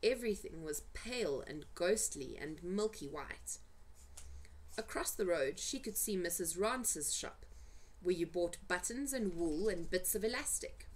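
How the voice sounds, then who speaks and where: Woman reading a kids story out loud, really animated pitch, dramatic and suspenseful. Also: unhurried. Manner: dramatic, suspenseful delivery with emphasized words. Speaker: amateur storyteller reading for family. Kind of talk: expressive children's storybook narration.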